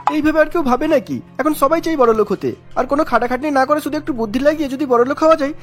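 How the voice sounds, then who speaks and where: A woman's voice: high voice, fast, faint music behind, close to an everyday voice. A woman voicing a greedy, scheming male swindler in a cartoon, with a bossy, smug, coaxing manner. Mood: coaxing, sly. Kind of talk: cartoon character acting.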